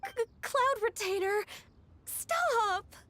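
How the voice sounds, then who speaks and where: A woman voicing a gentle, modest young half-immortal in an anime video game, speaking politely and deferentially. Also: very high-pitched, animated, drawn-out words, exaggerated voice. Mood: flustered. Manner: polite, soft-mannered, deferential.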